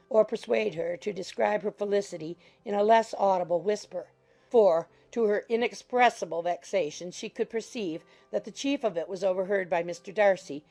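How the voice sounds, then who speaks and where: A woman narrating an audiobook in a measured, formal storytelling manner. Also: mid-range voice, vocal fry, dark tone. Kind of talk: scripted literary narration.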